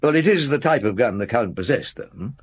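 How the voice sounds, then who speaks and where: An actor playing a shrewd, unhurried French chief inspector in a radio drama, musing in hums and asking blunt, probing questions. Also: male voice, mid-range voice, lively intonation, slightly rough. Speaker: actor in the lead role of a radio detective drama. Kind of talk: British radio drama acting with first-person narration.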